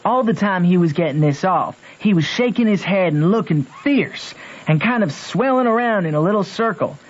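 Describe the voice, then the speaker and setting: A man's voice: high voice, expressive, normal speed. An actor playing a plainspoken country boy, telling his adventure in folksy, colloquial radio narration. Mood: vivid storytelling. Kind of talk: first-person storytelling in old radio drama. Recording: muffled old radio recording.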